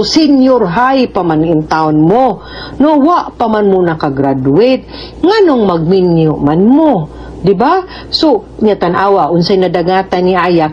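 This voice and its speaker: A woman's voice: mid-range voice, a little mellow. A woman with a Cebuano Filipino accent gives conversational legal advice as a radio host, speaking frankly and admonishing with frequent tag questions. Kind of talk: conversational legal advice to a letter sender and listeners. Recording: muffled audio with clear background noise.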